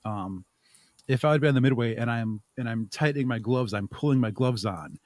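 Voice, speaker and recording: A man's voice: medium pitch, lively intonation, a little fast, a little mellow, no room sound. A man giving casual, self-deprecating, rambling and thoughtful answers as a podcast guest. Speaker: podcast guest, audio drama creator. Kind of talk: casual interview conversation.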